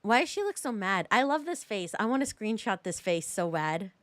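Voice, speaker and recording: A woman's voice: medium pitch, big pitch swings, moderate pace, fairly bright tone, dry recording. A woman streamer giving casual live game commentary and chatting to viewers in a rambling, slangy way. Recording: game music behind.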